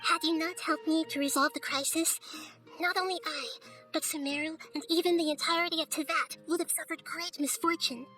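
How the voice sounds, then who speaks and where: A woman voicing a gentle, wise childlike girl deity with measured, formal, earnest speech in a game cutscene. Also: extremely high pitch, fairly even intonation, soft background music. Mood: sincere, grateful. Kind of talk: scripted game cutscene acting.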